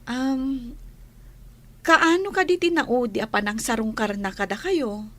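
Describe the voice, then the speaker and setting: A woman's voice: on the high side, measured pace, fairly bright tone. A woman with an Ilocano accent playing a polite young schoolteacher in a radio drama.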